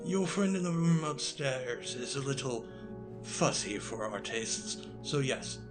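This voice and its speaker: A man plays a sly old magician in an audio drama, genial and courtly. His mid-pitched voice is theatrical and breezy, with music clearly playing behind it. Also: animated, slow.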